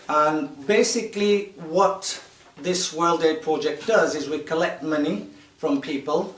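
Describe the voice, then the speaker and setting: A man in his fifties from Tanzania, speaking to a group of children in a measured, explanatory way with pauses and fillers. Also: a little high. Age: 50s. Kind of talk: informal talk to children. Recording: echoey room, distant mic.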